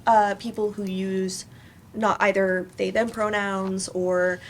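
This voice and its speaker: Female panelist, mid-pitched, halting and informal, live room with faint noise. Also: flat delivery. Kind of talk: casual panel discussion.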